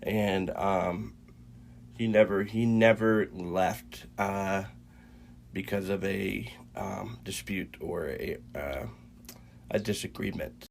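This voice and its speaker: A man co-hosting a faith podcast, congested and a little raspy from a cold, talking in a halting way with many fillers and restarts. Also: sits low, a little hiss or hum. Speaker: podcast co-host. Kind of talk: casual faith chat.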